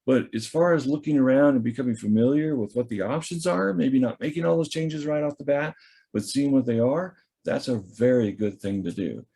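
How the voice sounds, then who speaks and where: A man teaching staff a software tool over a video call, in a relaxed, chatty way with many fillers as he thinks aloud. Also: medium pitch, a little fast, creaky, slightly rough. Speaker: instructor. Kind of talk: screen-share software training. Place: video call from a home or office. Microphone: computer or headset mic on a video call.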